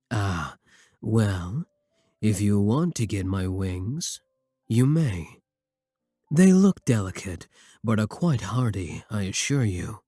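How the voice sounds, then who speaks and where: Man voicing a slow, husky, devoted vampire king. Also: fairly low pitch, no room sound, clean studio sound, full character voice. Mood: tender, reassuring. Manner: measured, formal, gently intimate. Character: devoted, courtly vampire king, tender and formal. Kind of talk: romantic ASMR roleplay.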